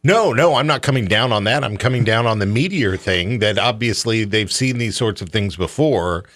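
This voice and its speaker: A man with a lowish voice hosts a casual talk show. His delivery is informal and joking, full of loose banter, and the sound is dry with little room in it. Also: normal speed, fairly bright tone, no echo.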